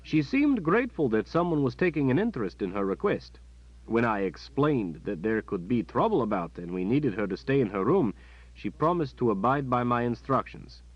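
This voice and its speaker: Man doing a deferential captain reporting to his lady, low-key, dry and muffled sound. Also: big pitch swings, normal speed, slightly rough, dry recording. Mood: dutiful. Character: dutiful, nervous palace guard captain, man.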